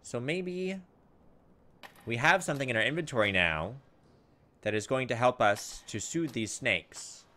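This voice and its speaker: A man's voice: medium pitch, animated, a little slow, pauses often, bright, forceful tone. A man giving casual gameplay commentary as a let's play host, thinking aloud in a hesitant, musing way.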